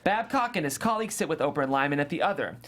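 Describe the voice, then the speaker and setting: A man's voice: fairly high pitch, lively intonation, racing, a bit bright. A man reading scene descriptions and stage directions as scripted narration at a table read.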